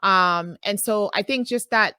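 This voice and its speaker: A woman's voice: mid-range voice, measured pace, sharp tone, clear, resonant tone, no echo, studio quality. A woman from Nigeria, a podcast co-host chatting casually and retelling a story with asides.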